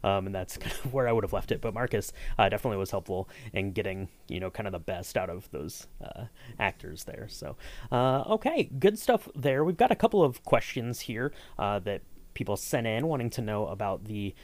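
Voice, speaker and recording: A man speaks as a podcast host in a slightly low voice. His delivery is conversational and halting, broken up by many ums and uhs as he moves through questions and explanations. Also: lively intonation. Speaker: podcast host and sound designer. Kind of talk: casual podcast hosting.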